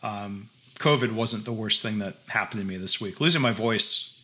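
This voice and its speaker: Male podcast co-host, bright and forward tone, casual and halting with lots of fillers. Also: fairly low pitch, poor audio. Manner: halting, many restarts and fillers. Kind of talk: casual conversation.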